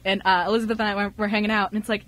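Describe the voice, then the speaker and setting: A young woman chatting casually on a group show, giggly and excitable, often acting out what people said. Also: mid-range voice, rapid-fire. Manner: giggly, excitable, animated quoting of others. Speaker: radio show host and script writer. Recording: faint background noise, amateur radio recording.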